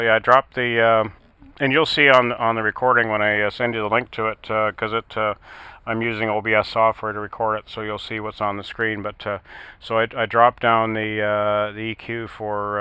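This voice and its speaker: A man speaking as an amateur radio operator in casual technical chat, halting now and then and using radio jargon. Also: fairly low pitch, level tone, bright, forceful tone, clean tone, dry recording.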